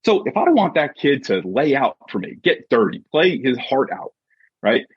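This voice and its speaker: A husky-voiced man giving an emphatic, conversational motivational talk as a coach and podcast guest, often checking in with short rhetorical questions. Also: mid-range voice, big pitch swings, moderate pace, no echo. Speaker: podcast guest, sports mindset coach and author. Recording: dull, muffled lo-fi audio.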